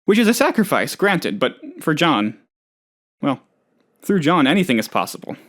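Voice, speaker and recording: An oddball male office worker for a comedic game. His voice sits on the high side and leaps around with animated intonation. He is excitable and scatterbrained, rambling with total earnestness about absurd things. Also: moderate pace. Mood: cheerful, eager. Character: eccentric, eager, scatterbrained male office colleague, cheerfully odd. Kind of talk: comedic video game character dialogue.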